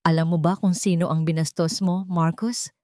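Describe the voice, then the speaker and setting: A woman's voice: lowish voice, flat delivery, moderate pace, warm tone, no room sound, clean studio sound, lightly acted. A woman voicing a stern, righteous male chairman with a controlled, commanding manner in a drama story. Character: stern, righteous male company chairman, wealthy, grateful son. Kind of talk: dramatic audio storybook acting.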